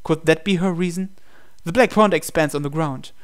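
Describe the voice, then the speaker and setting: Higher-voiced man dramatically narrating a story. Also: normal speed, clean tone. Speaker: let's-play reader of a visual novel. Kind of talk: dramatic first-person story narration read aloud.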